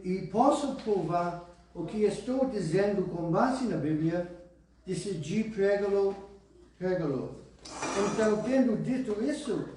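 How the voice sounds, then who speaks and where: A pastor preaching a slow, deliberate sermon in Portuguese with a foreign accent, pausing and repeating words. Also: male voice, high voice, warm tone, slight background noise. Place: church hall. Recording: echoey church hall from far off.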